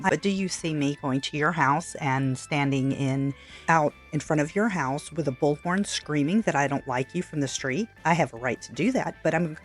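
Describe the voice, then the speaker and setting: A woman giving opinion commentary in a measured, matter-of-fact way, correcting point by point. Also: low voice. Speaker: reaction commentator. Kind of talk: opinion commentary over played clips.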